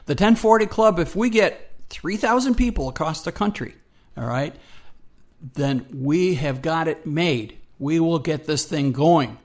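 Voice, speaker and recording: A man's voice: fairly high pitch, lively intonation, measured pace. A man co-hosting a radio program in casual promotional chat, folksy and hesitant, repeating himself for emphasis.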